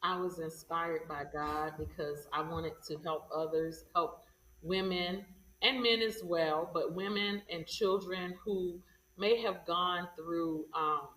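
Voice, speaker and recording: A woman's voice: low-pitched, a bit bright, a little hiss or hum. A woman giving informative answers as an interview guest. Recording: echoey room, far from the mic.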